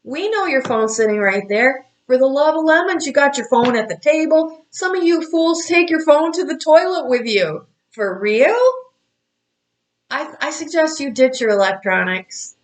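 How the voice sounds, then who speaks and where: A woman's voice: high voice, lively intonation, moderate pace. A woman in her fifties, an astrology coach, giving blunt, scolding, motherly advice straight to her viewers. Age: 50s. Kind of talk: direct-to-camera advice talk.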